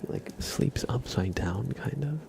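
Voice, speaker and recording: A man hosting an ASMR livestream, chatting casually to viewers in a soft, breathy, gentle whisper close to the microphone. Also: medium pitch, expressive, takes it slowly, background noise.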